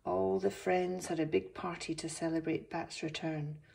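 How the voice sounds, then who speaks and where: A woman reading a picture book aloud to young children as its author, expressive, with gentle asides. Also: lowish voice, normal speed, gravelly.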